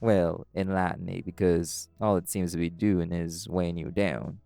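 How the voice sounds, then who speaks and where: A man playing a calm, caring were-dog pack alpha in an audio roleplay, gently reassuring a friend. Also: low-pitched, normal speed, vocal fry, no echo, soft background music. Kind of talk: audio roleplay acting, intimate comforting talk.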